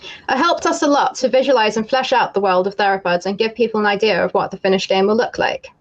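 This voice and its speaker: A woman's voice: medium pitch, fast talker, dull audio. A young woman who grew up in Namibia presents the game she builds. She talks in an upbeat, explanatory way, walking through design, art and story. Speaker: game developer. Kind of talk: presenting her indie game.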